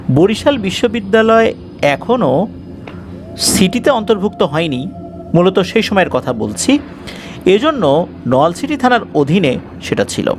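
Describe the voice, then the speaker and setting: A man's voice: sits high, background noise. A man narrating a scripted ghost story in a dramatic storytelling style. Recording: music bed behind the voice.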